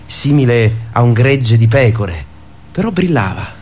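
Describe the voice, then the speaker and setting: An actor playing a dreamy, earnest young man in a radio play, telling his story with hushed pauses. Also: medium pitch, sing-song, slight background noise. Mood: wondering, earnest. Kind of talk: radio theatre acting.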